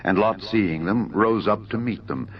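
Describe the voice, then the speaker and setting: A man's voice: lowish voice, a little slow. A man narrating dramatised scripture in a formal, solemn style. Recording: dull narrow audio over music.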